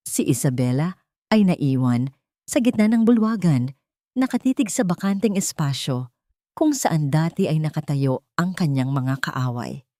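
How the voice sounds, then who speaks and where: Low-voiced woman narrating a dramatic story. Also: dark tone, dry recording, clean studio sound.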